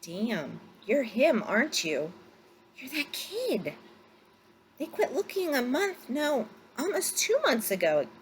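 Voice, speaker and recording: A woman voicing an astonished male bush pilot in a story read-aloud, with halting, incredulous exclamations. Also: high voice, big pitch swings, slight background noise, pitched above the performer's own voice, exaggerated voice. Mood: amazed, excited.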